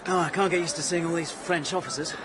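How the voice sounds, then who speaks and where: An actor playing an earnest, proud young Russian officer in a radio drama, speaking with hesitant fervour. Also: male voice, fairly high pitch, steady tone, fast talker, natural voice. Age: young adult. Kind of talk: radio drama acting with inner monologue. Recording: bustling outdoor crowd noise behind.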